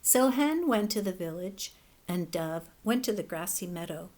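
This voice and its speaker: A woman teacher reading a children's fable aloud in a gentle, expressive storybook manner. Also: on the low side, normal speed. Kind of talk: children's read-aloud narration.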